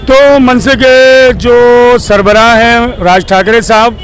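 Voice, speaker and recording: Formal reporter, high-pitched man. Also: fairly even intonation, faint music behind. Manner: formal, courteous, measured. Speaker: news channel reporter. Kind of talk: street interview and sign-off.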